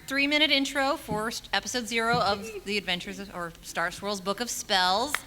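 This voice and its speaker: A woman's voice: mid-range voice, moderate pace, background noise. A woman speaking casually as a panelist at a fan convention, with a playfully teasing way of talking. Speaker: panelist, audio drama creator.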